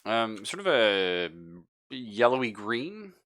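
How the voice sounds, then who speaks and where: A man improvising narration as game master of a tabletop roleplaying game, halting and informal as he thinks aloud. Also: medium pitch, big pitch swings, a bit bright.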